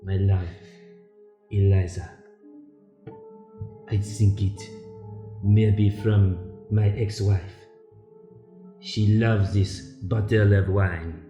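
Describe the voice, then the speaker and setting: Man playing a slow-talking, melodramatic young male chef. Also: deep voice, steady tone, echoey room, highs cut off, over music. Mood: confiding. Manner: pleading, theatrical. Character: melodramatic 27-year-old coquettish male chef, needy lover. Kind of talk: improvised radio play.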